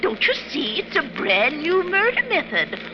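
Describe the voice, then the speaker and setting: A woman's voice: very high-pitched, sing-song, normal speed, over music. An actress playing an eager, mystery-loving lady sleuth with a fluttery, chatty manner in 1950s radio mystery style. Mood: eager, excited. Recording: muffled, noisy 1950s radio recording.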